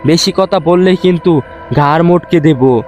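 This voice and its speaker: A man's voice: fairly high pitch, a little slow, a little mellow, close to an everyday voice. A man voicing a mysterious, menacing old ghost woman in an audio story. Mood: threatening. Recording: music behind the voice.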